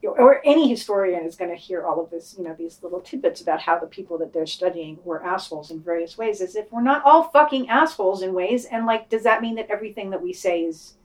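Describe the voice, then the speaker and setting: A woman's voice: mid-range voice, animated, fast talker, hardly any pauses, a little mellow, poor audio. A woman talking informally and with opinion as a panelist in a casual podcast discussion, swearing freely.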